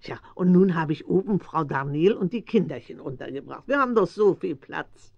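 Eine Schauspielerin in der Rolle einer rundlichen, mütterlichen, redseligen älteren Bauersfrau aus einem Kinderhörspiel. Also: female voice, on the low side, animated, moderate pace, creaky, dull audio. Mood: chatty. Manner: garrulous, fussing, uses pet names. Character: stout, motherly, chatty older farmer's wife, kind-hearted. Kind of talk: German children's audio drama acting.

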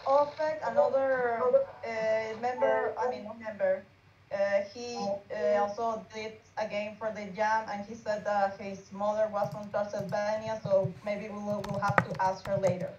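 A woman who is not a native English speaker, giving a casual, halting, slightly apologetic explanation as a game artist. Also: medium pitch, fairly even intonation, darkish tone. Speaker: game artist presenting team project. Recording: roomy, dull online call with music.

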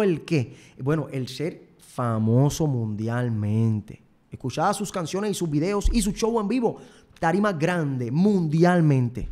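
A man's voice: fairly high pitch, darkish tone. A young man with a Puerto Rican accent hosting a show in a rambling, repetitive, self-promoting comic monologue, close on a studio microphone. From Puerto Rico. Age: young adult. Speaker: show host and singer. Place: studio set, indoors. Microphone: close desk microphone with headphones.

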